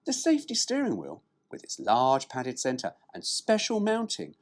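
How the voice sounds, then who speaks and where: A man's voice: mid-range voice, wildly swooping pitch, moderate pace. A man reading a brochure aloud as a presenter, dropping in cheeky, irreverent, sweary asides.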